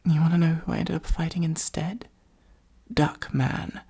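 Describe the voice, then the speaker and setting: A performer voicing a theatrical supervillain in an ASMR roleplay, with a soft breathy delivery, pompous and sardonic. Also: mid-range voice, flat delivery, normal speed. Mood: wry, concerned. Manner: pompous monologuing, sardonic exasperated asides. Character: theatrical gloating supervillain, secretly fond of the hero.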